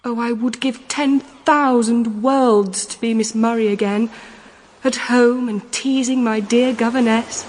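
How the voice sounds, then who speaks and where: Woman playing spoilt young wife, highish voice, petulant, haughty, dramatic complaints, period radio drama, faint noise. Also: steady tone, a little slow, a little mellow, natural voice. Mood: bitter, petulant. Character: spoilt, petulant young Victorian lady, unhappily married.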